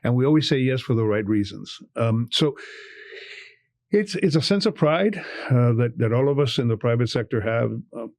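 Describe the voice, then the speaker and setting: An older man from Cuba, a bank executive, speaks with steady passion in a podcast interview. He stresses his points by repeating words, at an ordinary mid pitch. The sound is dry and close. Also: moderate pace, no echo.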